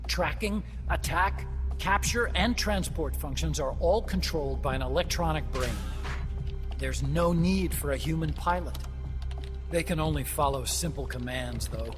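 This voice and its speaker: A man's voice: fairly high pitch, measured pace, lightly acted. An actor voicing an earnest, talkative young male engineer scientist who explains things at length in a game cutscene. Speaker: game character. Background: game score music.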